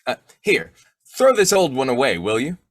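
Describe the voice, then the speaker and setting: An actor playing a boyish, wheedling, wisecracking husband in a 1940s-style radio comedy, with a folksy, exclamatory delivery. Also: male voice, mid-range voice, big pitch swings, moderate pace, dry recording, clean studio sound. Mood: sheepish, bantering.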